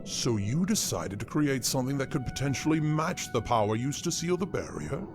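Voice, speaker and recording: An actor playing a kindly, stately old monster king in a comic dub, speaking gently and deliberately with courtly manners. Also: mid-range voice, big pitch swings, music in the background. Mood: gracious, impressed. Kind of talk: fan comic dub voice acting.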